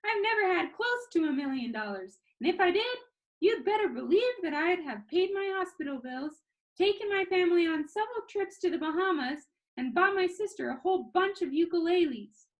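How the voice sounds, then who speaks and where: A woman puts on a cheeky, dying teenage boy in a read-aloud storybook. He talks in a high, lively voice that rises and dips a lot. His delivery is dramatic, teasing and full of jokes. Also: moderate pace, muffled recording. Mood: cheerful, teasing. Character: dying teenage boy making joking farewell videos.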